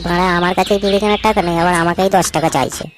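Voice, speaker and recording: A woman plays a lazy young village man in a comic cartoon. The talk is crude, slangy and grumbling, delivered at a quick clip. A clear layer of noise and birdsong sits behind it. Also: fairly low pitch, fairly even intonation, close to an everyday voice. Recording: noisy track with birdsong.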